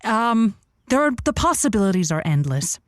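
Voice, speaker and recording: A woman playing a worldly, encouraging radio advice host in a comedy audio drama, with playful, halting delivery. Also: mid-range voice, big pitch swings, dry recording, clean studio sound, natural voice.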